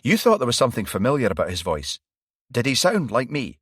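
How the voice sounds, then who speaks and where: Narrator reading a feverish male cop, urgent, fairly loud, dry close sound. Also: medium pitch, wildly swooping pitch, fairly quick, slightly rough, no echo, voice pushed up. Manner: urgent, earnest. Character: intense, feverish off-duty male detective.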